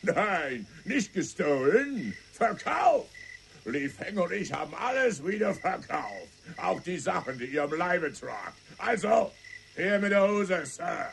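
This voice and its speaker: A gravelly, commanding scout. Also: male voice, sits high, sing-song, slow, a bit bright, slight background noise, exaggerated voice. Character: gruff American scout Mister Monsoon, commanding. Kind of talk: 1990 radio play.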